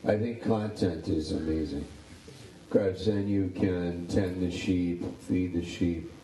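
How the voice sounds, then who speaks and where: Man, 60s, pastor, low voice, rambling chat, echoey room. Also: fairly even intonation, takes it slowly, warm tone, recorded from a distance. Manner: rambling, repeats words, upbeat. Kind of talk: casual interview chat.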